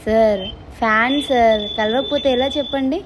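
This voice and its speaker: A woman's voice: on the high side, steady tone, moderate pace. A woman chatting flirtatiously and insistently on a call, pressing her point as a devoted fan. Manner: pushy, insistent. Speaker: prank caller posing as a fan. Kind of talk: flirtatious phone chat. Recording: very noisy recording.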